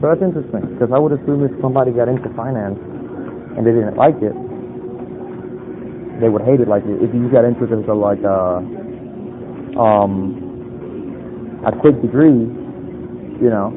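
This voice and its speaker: A man's voice: medium pitch, normal speed, pauses often. A young man chatting casually with someone he has approached, in a hesitant, rambling way full of fillers. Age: young adult. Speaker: pickup vlogger chatting up a stranger. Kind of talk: casual street conversation. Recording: muffled phone-like audio, loud street noise.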